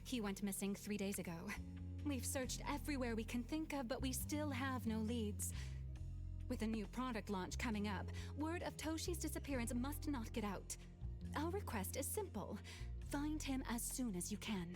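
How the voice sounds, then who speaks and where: Woman playing a high-voiced, formal, anxious businesswoman client. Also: expressive, moderate pace, natural voice. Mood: urgent. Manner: formal, direct, serious. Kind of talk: English video game voice acting. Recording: game music behind the voice.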